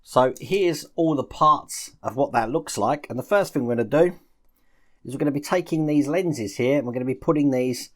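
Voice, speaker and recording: A man's voice: mid-range voice, normal speed. Man giving hands-on build instructions. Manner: informal, narrating actions as he works. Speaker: presenter of a model-building tutorial. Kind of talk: hands-on step-by-step instructional commentary.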